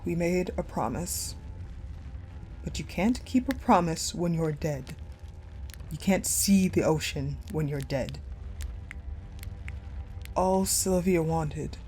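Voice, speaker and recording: A woman playing a wounded, vengeful ice-powered general in a dramatic audio roleplay monologue, halting and breathy, often slipping toward a whisper. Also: fairly low pitch, lively intonation, mellow, soft background music, low-quality recording. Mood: bitter grief.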